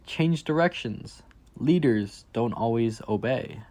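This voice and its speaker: A man's voice: mid-range voice, expressive, slow pace, a little mellow. A man reading a children's picture book aloud, expressively, with emphatic raised key phrases. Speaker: reader for a children's reading program.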